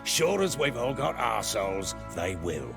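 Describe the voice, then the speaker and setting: A low, gravelly voice a gruff middle-aged warlord baron in a fantasy game. He speaks in blunt, earthy, archaic phrases that swing widely in pitch. Music plays behind him. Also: big pitch swings, normal speed. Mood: bitter, defiant. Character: gruff, bitter, foul-mouthed self-made baron, middle-aged soldier. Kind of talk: dramatic video game acting.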